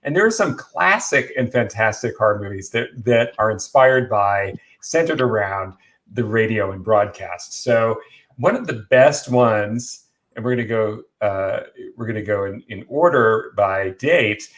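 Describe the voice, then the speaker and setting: A man co-hosting a casual live show, presenting informally to viewers with halting, repeated phrases for emphasis. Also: fairly low pitch, fairly bright tone. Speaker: talk show co-host.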